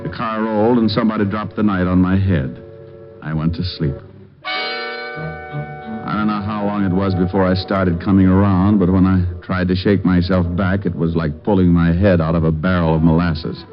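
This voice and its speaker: An actor playing a cool, flirtatious private detective who also narrates in the first person, in a 1950s radio drama with a dry, wry delivery. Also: male voice, low-pitched, fairly even intonation, normal speed, natural voice. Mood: narrating. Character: wisecracking male private eye, cool and flirtatious. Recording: dull old radio broadcast with music.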